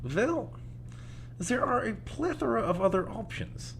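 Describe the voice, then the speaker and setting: A man's voice: sits high, very animated, a little slow, vocal fry, slight background noise, exaggerated voice. A man playing a genial little old man who loves the paranormal, speaking in an erudite, musing, theatrical way in an audio drama. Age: older.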